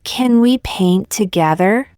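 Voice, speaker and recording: A woman reading short practice sentences aloud for learners, saying each one twice, slowly and carefully. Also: medium pitch, animated, a little mellow, clear, resonant tone, dry recording, studio quality. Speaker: English teacher.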